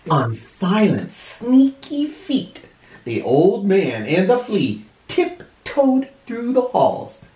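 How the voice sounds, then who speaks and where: A man reads a children's story in an echoey room, his pitch swinging widely. He takes it slowly and leans on words for drama, like a teacher reading to first graders. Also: slight background noise. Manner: expressive, deliberate, dramatic emphasis. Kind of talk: animated storybook read-aloud for children. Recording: roomy, echoey indoor sound.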